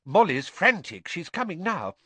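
An eager middle-aged wizard father, as a man narrating a storybook puts him on. He is excitable and emphatic, his pitch leaping about. The recording is dry and close. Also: normal speed, husky, dry recording, heavily acted. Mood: breathless worry.

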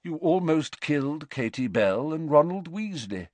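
Brief: man doing a soft-spoken elderly wizard, calm and kindly mild, higher and quieter than the narration, dry close sound. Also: measured pace, no room sound. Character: wise, gentle very old male wizard headmaster.

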